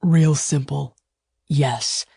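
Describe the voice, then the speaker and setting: A woman voicing a fierce, possessive male lover in a romance audiobook, with a low growl and an emphatic, insistent delivery. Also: steady tone, drawn-out words, gravelly, warm tone, breathy edge, no room sound, studio quality, exaggerated voice. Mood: commanding. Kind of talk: audiobook romance character dialogue.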